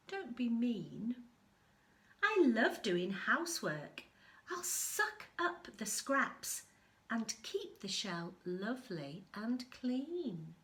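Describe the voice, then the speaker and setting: A woman reading a children's storybook as an eager, friendly, house-proud little bristleworm, pleading brightly. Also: fairly high pitch, exaggerated voice. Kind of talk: children's storybook read-aloud.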